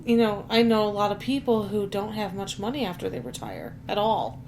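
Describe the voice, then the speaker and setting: A woman's voice: mid-range voice, animated, a little fast, darkish tone, a little hiss or hum. Woman co-host in casual chat. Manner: teasing, conversational. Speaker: podcast co-host.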